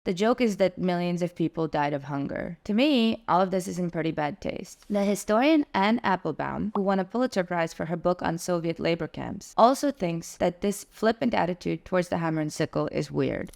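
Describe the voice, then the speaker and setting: A woman's voice: on the low side, expressive, brisk, creaky, hardly any pauses, darkish tone. A young woman from Russia narrating an earnest, opinionated video essay as its host.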